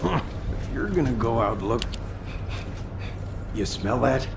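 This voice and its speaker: Gravelly, slow-talking grumpy old man. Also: mid-range voice, big pitch swings, heavily acted. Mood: grouchy. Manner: irritable, blunt. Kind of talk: video game voice acting. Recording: echoey, with clear background noise.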